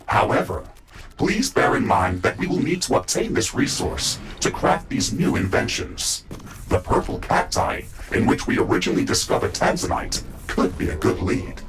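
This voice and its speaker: A man playing a calm, dutiful mecha suit AI in a video game, speaking formally and with measure through a robotic filter. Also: medium pitch, moderate pace, noisy background. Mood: informative. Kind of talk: scripted video game dialogue.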